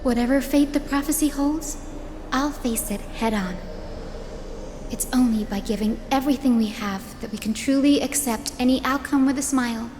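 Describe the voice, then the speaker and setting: A woman voicing a kind, optimistic, earnest young heroine in a video game, with a gentle, sincere manner. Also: high-pitched, normal speed, slight background noise, close to an everyday voice. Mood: earnest, hopeful. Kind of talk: scripted game dialogue and narration. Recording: music behind the voice.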